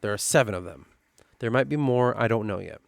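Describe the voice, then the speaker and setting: A man's voice: lowish voice, animated, vocal fry, no room sound. Dry-voiced Midwestern man musing over notes. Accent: Midwestern American. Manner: thinking aloud, halting, restarts, slows down for lore passages. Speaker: worldbuilding writer. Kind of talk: reading and musing over his own lore notes.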